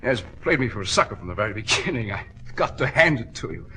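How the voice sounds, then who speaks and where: An actor playing a wounded American swindler in an old radio drama, halting and wheedling in slangy talk. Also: male voice, on the low side, big pitch swings, sharp tone, faint music behind. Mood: rueful, sly. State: hurt, head bleeding. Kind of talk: 1940s radio drama acting. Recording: vintage 1940s radio broadcast.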